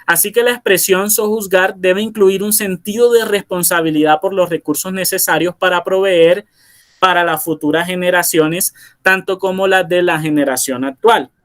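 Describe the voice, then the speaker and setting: Coastal guy, kinda high voice, lecturing with lots of uhs and restarts. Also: fairly even intonation, normal speed, fairly bright tone. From Colombia, Barranquilla. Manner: hesitant, many filler sounds and restarts. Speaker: philosopher giving a presentation. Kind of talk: informal lecture, explaining and reading texts.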